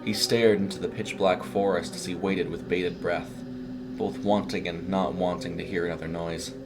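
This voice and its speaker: A man's voice: deep voice, fairly even intonation, normal speed, noisy background. A man reading a scripted short story as a dramatic narrator.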